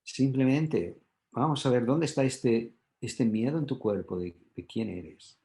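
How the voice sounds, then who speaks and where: A man's voice: medium pitch, measured pace, dark tone. A man giving an explanatory, teacherly talk, often checking in with short rhetorical questions. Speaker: guest expert, psychotherapy trainer and author.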